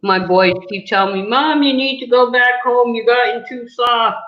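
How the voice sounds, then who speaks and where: A woman's voice: on the high side, steady tone, moderate pace. A middle-aged woman from New England chatting casually and candidly on a livestream, telling personal stories in a rambling way. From New England, United States. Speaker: livestream host and interviewer. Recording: roomy, echoey, muffled lo-fi audio.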